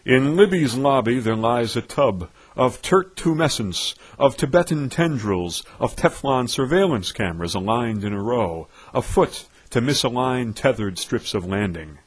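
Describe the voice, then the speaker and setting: A man with a husky voice reciting poetry in a theatrical, pompous, declamatory way with dramatic emphasis. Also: medium pitch, lively intonation, measured pace, hardly any pauses. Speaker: poetry reader. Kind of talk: theatrical recitation of nonsense verse.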